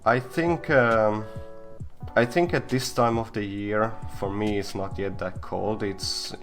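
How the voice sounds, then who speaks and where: A young man hosts a casual live stream in a lowish voice. He talks haltingly, piling up fillers and restarts. Faint guitar music plays behind him. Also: fairly even intonation, fairly bright tone. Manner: hesitant, many fillers and restarts. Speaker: host.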